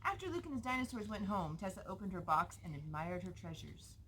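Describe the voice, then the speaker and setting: A woman's voice: mid-range voice, very animated, a little fast, a bit bright. A woman reading a picture book aloud expressively to a young child in a noisy recording with clicks.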